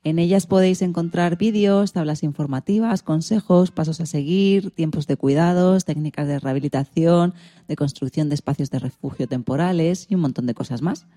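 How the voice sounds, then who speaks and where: A woman's voice: low-pitched, moderate pace, warm tone. A woman hosting a radio show, presenting semi-scripted segments in an orderly way.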